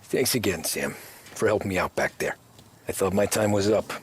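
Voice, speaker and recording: An actor playing a wry, streetwise young American mobster in a video game drama, talking in casual, understated gangster slang. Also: male voice, sits low, normal speed, slight background noise, close to an everyday voice. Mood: shaken, grateful. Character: wry streetwise young mobster recounting his criminal past.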